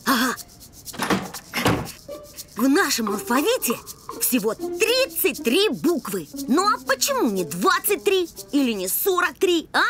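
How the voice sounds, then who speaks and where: A woman playing an eager, bossy young boy puppy full of big ideas in an animated cartoon. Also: high-pitched, measured pace, music in the background. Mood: eager, persuasive.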